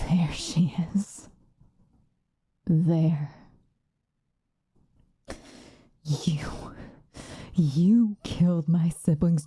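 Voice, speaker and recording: Woman acting a dark, breathy, obsessive vampire woman. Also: fairly low pitch, dry recording, studio quality. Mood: vengeful, obsessive. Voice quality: soft, breathy, often whispered. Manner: intense, dramatic, slow with long pauses. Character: five-hundred-year-old possessive, vengeful female vampire lover. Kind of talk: ASMR roleplay monologue.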